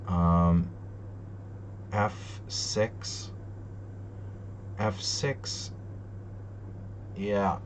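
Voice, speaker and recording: A man with a slightly low voice talks very slowly as he thinks aloud over a chess game for a stream. His commentary is casual and deliberating, often muttered to himself. The sound is dull, with a loud hum underneath. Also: fairly even intonation.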